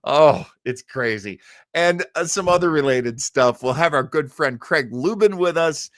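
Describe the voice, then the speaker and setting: A man's voice: a little high, animated, a little slow, fairly bright tone, no echo, studio quality. A middle-aged or older American man hosting a live online show, chatting casually with rambling, chuckling asides. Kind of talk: casual livestream chat and announcements.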